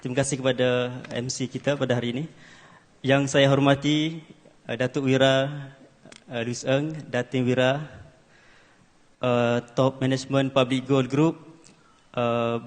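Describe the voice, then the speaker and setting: A man's voice: mid-range voice, level tone, measured pace, pauses often. A man in his twenties giving an earnest speech at an award ceremony, with many hesitant fillers. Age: 20s. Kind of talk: formal stage speech. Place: large ceremony hall. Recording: hall reverb.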